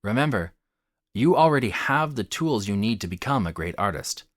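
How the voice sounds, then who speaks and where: A man with a low voice narrates a scripted video essay. His intonation rises and falls widely as he stresses key phrases in an articulate, teacherly way. The sound is close and dry. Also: very animated, normal speed, no room sound. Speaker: host of a theatre commentary channel.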